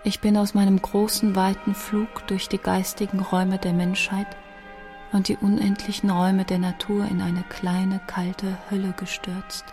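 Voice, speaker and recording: A woman's voice: mid-range voice, level tone, normal speed, darkish tone, faint music behind, close to an everyday voice. An actress playing a dying young woman musician who speaks in a grave, introspective, bitter way, in a radio drama monologue. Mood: anguished, bitter.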